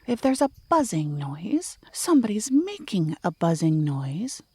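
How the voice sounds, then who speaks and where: A woman voicing an earnest, naive, honey-loving male toy bear in a storybook, reasoning slowly and repetitively. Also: fairly low pitch, mellow, slightly rough, no room sound, full character voice.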